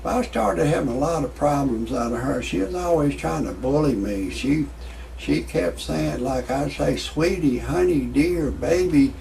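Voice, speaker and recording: Older guy in his 60s doing folksy rambling amateur standup, gravelly voice, bit of background noise. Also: a little high, expressive, a little slow, dark tone, low-quality recording. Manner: folksy rambling, addressing the audience. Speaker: host of own online comedy show. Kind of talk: amateur stand-up style monologue with commentary.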